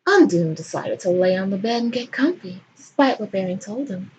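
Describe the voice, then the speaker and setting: A woman's voice: medium pitch, lively intonation, normal speed, a little mellow, highs cut off, slight background noise. A woman giving a comic dramatic reading of fan fiction as host, in a theatrical, irreverent manner.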